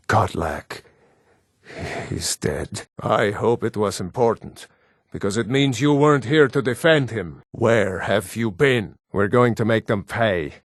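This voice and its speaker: Stern, measured Nord warrior. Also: male voice, on the low side, dry recording, clean studio sound. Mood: grieving, grave. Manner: solemn, commanding, terse martial delivery. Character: gruff proud Nord warrior, stern battle-hardened brother-in-arms. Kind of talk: fantasy video game voice acting.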